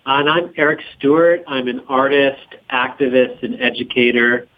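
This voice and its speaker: Over a narrow-band phone line, a man talks as an interview guest. His pitch is average. He explains things conversationally. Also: normal speed. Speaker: interview guest, documentary co-director and professor. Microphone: phone call. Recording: muffled remote phone call.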